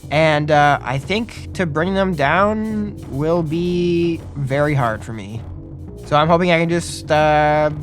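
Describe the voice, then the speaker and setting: A man with a North American accent chatting casually and playfully as host and player of a tabletop game. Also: on the high side. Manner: slangy, playful. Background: faint music.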